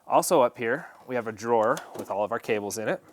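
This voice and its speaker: A man's voice: mid-range voice, expressive, fast, a little hiss or hum. A man presenting an instructional equipment setup tutorial, explaining each step in a plain, practical way.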